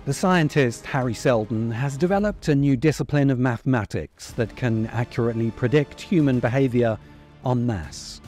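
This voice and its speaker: A middle-aged man delivering a scripted video essay in measured, emphatic, dramatic phrases, close to the microphone. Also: mid-range voice, darkish tone. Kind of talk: scripted narration, long-form commentary. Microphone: desk microphone close in front. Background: background music.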